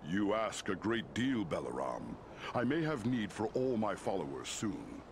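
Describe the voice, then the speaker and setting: An actor playing a regal, wise and stern giant eagle lord in a fantasy game, stately and authoritative in delivery. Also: male voice, fairly low pitch, very animated, a little slow, noisy background. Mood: stern, then granting. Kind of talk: scripted fantasy game dialogue.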